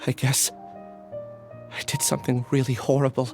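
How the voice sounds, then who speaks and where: A man's voice: medium pitch, level tone, normal speed, creaky, music in the background, lightly acted. An actor playing a timid, earnest young man in a video game, nervous and hesitant. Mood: troubled, unsure.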